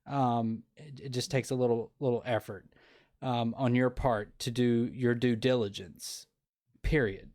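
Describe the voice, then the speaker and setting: A man's voice: mid-range voice, steady tone, unhurried, darkish tone. A man hosting a casual interview show, talking earnestly with many restarts and fillers. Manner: earnest, opinionated. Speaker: podcast host.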